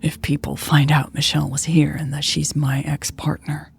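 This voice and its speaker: A woman's voice: low voice, little pitch movement, normal speed, gravelly, slight background noise. A woman reader voicing an angry, wounded woman leader who speaks in a clipped, accusing way in a romantic audio story. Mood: cold. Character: angry, cold, wounded woman leader confronting her lover. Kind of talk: audio fiction dialogue reading.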